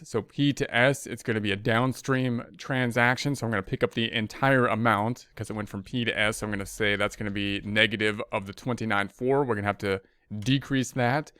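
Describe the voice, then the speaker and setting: Guy walking through a spreadsheet lesson, smooth voice, fillers and restarts, dead dry sound. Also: fairly low pitch, a bit bright, dry recording. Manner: thinking aloud, with frequent restarts and fillers. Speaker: accounting instructor. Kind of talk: screen-recorded spreadsheet lesson.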